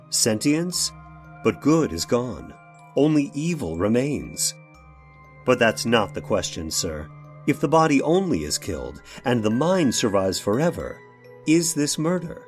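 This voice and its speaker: An actor playing a nervous, defensive man in an old-time radio horror drama, speaking haltingly and emphatically as if making a confession. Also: mid-range voice. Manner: halting, emphatic, rhetorical questioning.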